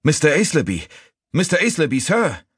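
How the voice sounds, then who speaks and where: A man performs an angry, accusing servant man in an audiobook. The voice is urgent and raised well above a deep register, with lively swings of pitch. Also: normal speed, breathy edge, no echo, studio quality, full character voice. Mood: urgent calling. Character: harsh, accusing male servant, urgent and wounded. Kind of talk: audiobook character voice.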